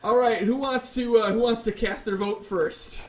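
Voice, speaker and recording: A man's voice: high voice, fairly even intonation, normal speed. A man hosting a casual debate about video games, arguing his points in a rambling, opinionated way. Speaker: host of a debate show.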